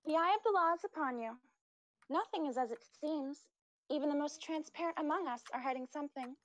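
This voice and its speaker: Young fantasy lawkeeper voiced by a woman, very high pitch, earnest, defiant, watchful. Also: a little fast, natural voice, rough recording. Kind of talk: character voice acting audition.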